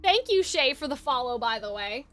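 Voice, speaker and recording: A woman's voice: high-pitched, big pitch swings, moderate pace, fairly bright tone. A woman live streamer chatting casually and reacting to a game in an informal, chatty way.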